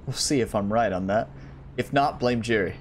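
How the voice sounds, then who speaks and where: A man's voice: fairly low pitch, normal speed, slight background noise. A man giving casual let's-play commentary, chatting to his viewers as he plays, his voice a little worn. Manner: chatty, talks to viewers while playing. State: slightly losing his voice. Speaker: gaming YouTuber.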